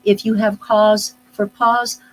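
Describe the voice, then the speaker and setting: A middle-aged woman, a speech pathologist, answering interview questions in a thoughtful, unhurried way, with many pauses and restarts. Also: medium pitch, flat delivery, rough recording. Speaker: speech-language pathologist guest. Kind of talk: live online interview, explaining casually.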